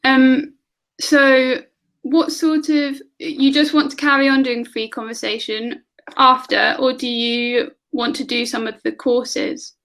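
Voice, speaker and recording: A woman with a high, light voice chats as an online tutor over a video call. She fires off question after question and laughs often. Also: flat delivery, slow pace, creaky, a bit bright. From England. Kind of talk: casual conversation lesson.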